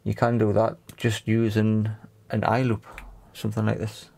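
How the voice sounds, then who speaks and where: A man casually explaining a hands-on tech repair in a matter-of-fact, halting way. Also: on the low side, completely flat delivery, gravelly, mellow. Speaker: repair video presenter.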